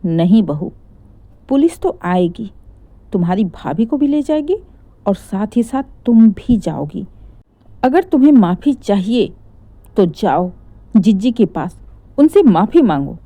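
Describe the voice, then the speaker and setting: A woman's voice: medium pitch, big pitch swings, a little slow, pauses often, warm tone, no room sound. A woman voicing a stern, sarcastic middle-aged mother-in-law in a story, scolding with pointed rhetorical questions.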